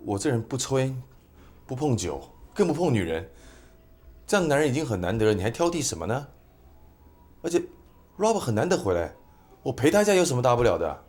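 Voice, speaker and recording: A man's voice: mid-range voice, very animated, fairly quick, long pauses, darkish tone, close to an everyday voice. A man acting in a TV drama as an evasive, irritable, self-justifying husband. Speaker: actor in a family drama.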